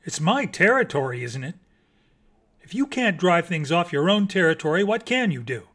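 Storybook mockingbird, played by a male reader: vain, touchy, theatrical, pitched slightly high. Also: animated, unhurried, fairly bright tone. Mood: indignant. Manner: dramatic, pompous, swooping emphasis.

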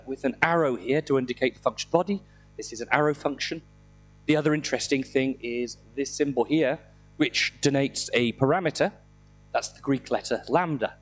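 A middle-aged man with a slightly croaky voice from a throat infection, giving an informal, self-deprecating technical talk with dry humour into a handheld microphone. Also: medium pitch, low-quality recording. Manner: self-deprecating, dryly humorous. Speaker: conference speaker. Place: indoor conference room, speaking on stage.

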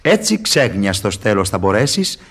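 A sly devil of classic radio theatre, low and husky. He swoops theatrically through his verse, mocking and wheedling with false humility. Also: very animated, heavily acted. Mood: coaxing, gleeful.

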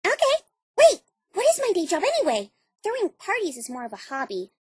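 A woman voicing a bubbly, scatterbrained young female pony in a cartoon dub, excitable and naive. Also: very high voice, fairly quick, no echo, clean studio sound, full character voice. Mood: chirpy. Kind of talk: cartoon fandub acting.

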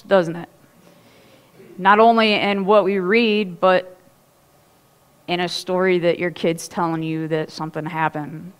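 A woman pastor in her thirties, preaching a sermon in a casual, storytelling way on a church stage. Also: mid-range voice. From Ohio. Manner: chatty, animated storytelling with laughs and asides.

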